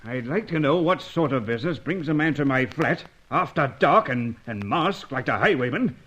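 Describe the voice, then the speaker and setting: A man's voice: mid-range voice, expressive, moderate pace, a bit bright. An actor playing an irritable, self-important older British businessman in an old-time radio drama, indignant and spluttering. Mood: stern, blustering. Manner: indignant, spluttering, hesitant starts.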